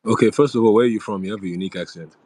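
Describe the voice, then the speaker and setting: A young man with a very low voice talks loosely with fans, circling back and restarting as he thinks out loud. The sound is dry and close, with no room around it. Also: very fast, dry recording. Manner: relaxed, rambling, with frequent fillers and restarts. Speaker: reality show former housemate hosting a live audio room. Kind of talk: casual live audio chat with fans.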